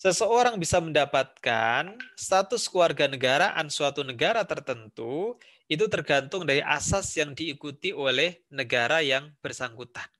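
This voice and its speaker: Bright-voiced Indonesian male lecturer. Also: on the high side. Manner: explains step by step with examples, repeats points. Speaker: civics lecturer. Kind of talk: recorded university lecture.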